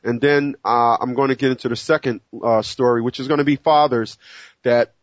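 A man's voice: medium pitch, steady tone, a little fast, dry recording, muffled recording. A man in his forties, hosting a solo talk show and speaking earnestly with many restarts and fillers. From United States. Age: 40s. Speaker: show host. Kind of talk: solo monologue to listeners.

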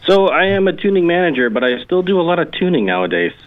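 Brief: easygoing male guest on a tinny phone line, explaining calmly. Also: a little high, quick pace. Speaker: guest, audio engineer. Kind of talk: phone interview. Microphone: telephone.

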